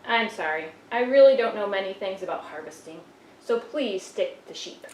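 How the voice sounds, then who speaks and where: A woman's voice: mid-range voice, expressive, moderate pace, darkish tone, roomy sound, slight background noise, natural voice, poor audio. A woman voicing a huffy, indignant sheep. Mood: puzzled. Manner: huffy, protesting, repeats questions. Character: indignant sheep puppet, touchy and defensive. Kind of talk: church puppet show. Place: church.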